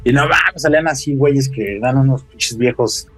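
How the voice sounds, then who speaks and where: A Mexican man chatting casually as a podcast guest, telling things in an animated, slangy way with swearing. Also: mid-range voice, normal speed, husky.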